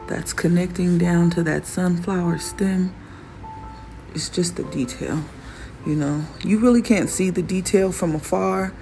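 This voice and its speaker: Raspy slow-talking woman. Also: on the low side, dark tone. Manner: chatty, admiring, laughs often. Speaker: fashion designer vlogger. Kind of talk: casual show-and-tell vlog. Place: workshop in Arizona. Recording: music playing behind the voice.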